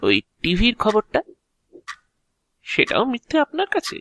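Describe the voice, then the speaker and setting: A man acting a calm, polite, sardonic agent of Death in an audio drama, measured and dryly ironic. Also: sits high, dry recording, full character voice. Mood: probing.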